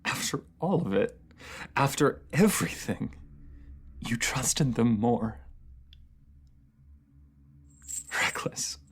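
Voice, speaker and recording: A voice actor playing a bitter, wounded fantasy ruler in an emotional dramatic monologue, halting and speaking directly to one listener. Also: mid-range voice, animated, slight background noise. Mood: wounded, defensive.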